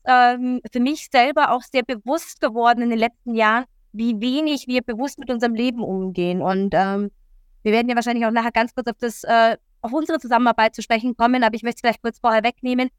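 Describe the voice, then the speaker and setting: A woman from Italy, a coach, talking as a guest in an earnest, lively way with many fillers. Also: fairly high pitch, clean tone, no room sound, clean studio sound. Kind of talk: interview talk.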